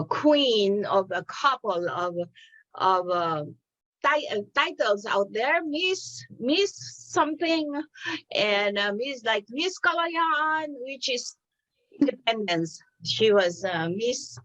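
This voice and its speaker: A woman's voice: fairly high pitch, expressive, takes it slowly, a bit bright. A woman hosting a TV interview, introducing guests in a chatty, hesitant way with many ums and restarts. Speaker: TV interview host. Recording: slightly dull, lo-fi sound.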